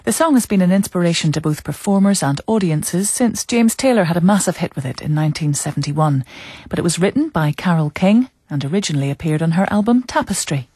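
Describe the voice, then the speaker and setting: A woman presenter reading a measured, formal introduction to a song. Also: on the low side, lively intonation, mellow, breathy edge, no echo, clean studio sound. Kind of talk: scripted radio introduction.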